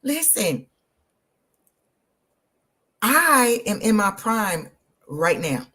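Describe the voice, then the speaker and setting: A woman, hosting an energetic, motivational live stream and talking straight to her viewers. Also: mid-range voice, very slow. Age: 50s. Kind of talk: live social media stream.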